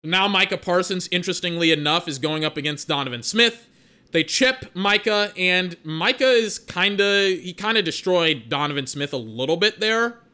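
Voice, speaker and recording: A young man giving casual, excitable play-by-play and analysis of a football game he is watching, with lots of filler words. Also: sits high, normal speed. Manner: excitable, peppered with filler words and mock voices. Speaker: watch-along football commentator.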